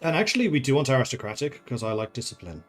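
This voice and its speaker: A man's voice: medium pitch, sing-song, fast talker, faint music behind. Man streamer thinking aloud over a game. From Netherlands. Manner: thinking aloud, chatty, laughs often. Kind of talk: casual gameplay commentary.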